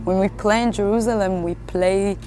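A woman from northern Israel with an Israeli accent, giving a casual, halting interview as a sound artist. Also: medium pitch, fairly even intonation. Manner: informal, halting, swears freely. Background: faint music.

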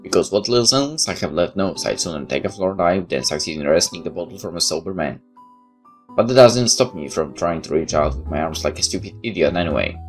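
A man hosting a let's play, reading a visual novel aloud with expressive storytelling and casual asides. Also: low voice, brisk. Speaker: let's play host and narrator. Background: game music.

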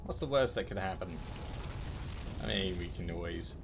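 A man's voice: sits low, expressive, moderate pace. A man giving casual, self-deprecating gameplay commentary as a gaming video host. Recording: game music behind the voice. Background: loud game music.

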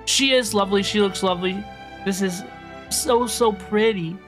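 A woman's voice: mid-range voice, moderate pace, faint music behind. A woman live-streaming a game with casual, playful, teasing reaction commentary, often repeating her phrases.

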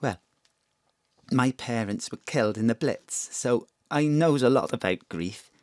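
A woman's voice: very deep voice, animated, measured pace, no echo, clean studio sound. A woman playing a polite, hesitant, old-fashioned mother in her thirties, dignified in grief, in an audio drama. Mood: grieving. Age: 30s.

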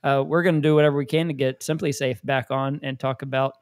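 A man's voice: medium pitch, little pitch movement, quick pace, dry recording, clean studio sound. A man co-hosting a business podcast, talking in an upbeat and enthusiastic way. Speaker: podcast co-host. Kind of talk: casual podcast banter.

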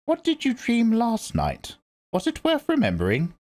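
A man reading aloud and voicing a stern, commanding old woman, a religious elder, in a sharp and lecturing manner. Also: extremely high pitch, wildly swooping pitch, normal speed, voice pushed up, heavily acted.

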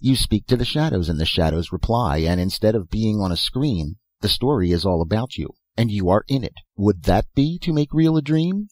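A man's voice: lowish voice, animated, moderate pace, few pauses, a little mellow, no room sound. A man voicing an eccentric, philosophizing old professor who talks in short, insistent phrases and rhetorical questions in a storybook reading. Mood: eager. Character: elfin bearded old male professor, eccentric, philosophical inventor.

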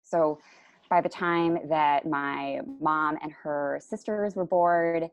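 A young woman from the Chicago area presenting over slides on a video call, relaxed and full of ums and self-corrections. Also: low voice, slow pace, no echo. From Chicago area, USA. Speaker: panelist. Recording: dull lo-fi video call audio.

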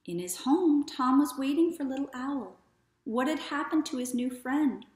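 A woman in her thirties reading a children's story aloud in a deliberate, expressive way. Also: high-pitched. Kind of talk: children's read-aloud narration. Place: small living room, indoors. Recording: echoey living room.

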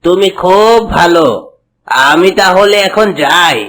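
A man's voice: sits high, takes it slowly, fairly bright tone. A man voicing a polite, humble crow who serves as a royal messenger in a cartoon. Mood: polite, grateful. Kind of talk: cartoon voice acting. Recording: music under the voice.

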